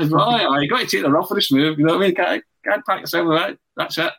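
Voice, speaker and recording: A man's voice: a little high, quick pace, creaky, a bit bright, slightly rough. A man hosts a football podcast in casual chat. He is jokey and playful, telling stories and teasing his guests, and he laughs often. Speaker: podcast host.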